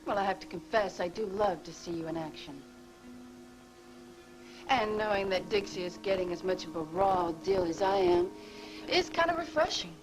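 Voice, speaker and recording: A woman's voice: medium pitch, expressive, normal speed, lightly acted, low-quality recording. An actress playing a bitter, scornful woman who schemed with her ex-lover and now wants payback, in a soap-opera drama, with faint music underneath. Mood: taunting. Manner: taunting, bitter, emotional. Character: bitter scheming woman, former nurse-accomplice, hurt and vengeful. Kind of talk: daytime soap drama acting.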